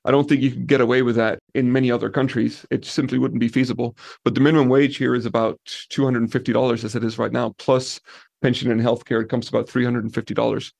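A man from Denmark talks through the practical side of renting out and managing property. His voice has a gravelly edge, and the sound is dry and close, with no room echo. He lays out points calmly and backs them with examples. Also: mid-range voice. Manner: relaxed, practical, explains with examples. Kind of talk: podcast interview.

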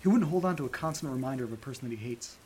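A man's voice: medium pitch, expressive, rapid-fire, dark tone, a little hiss or hum, lightly acted. A man playing a cautious, level-headed sidekick in an audio drama, reasoning and exasperated in naturalistic banter. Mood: puzzled.

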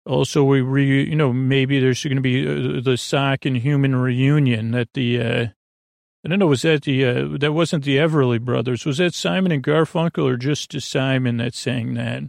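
Man, fry-heavy voice, close dry sound, halting delivery, wandering self-interrupting monologue, bedtime podcast host. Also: medium pitch, fairly even intonation, a little mellow, no echo. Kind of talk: rambling bedtime monologue to lull listeners to sleep.

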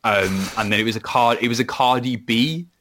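A man's voice: medium pitch, moderate pace, fairly bright tone. A Welsh man, a podcast co-host, chatting casually about football and rambling with many restarts. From Wales. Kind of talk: casual chat.

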